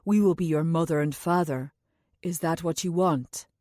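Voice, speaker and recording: A woman reading an audiobook voices a grave, commanding man who speaks gently and slowly to a child. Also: dry recording, studio quality, natural voice. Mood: gentle coaxing. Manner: deep, slow, authoritative yet gentle.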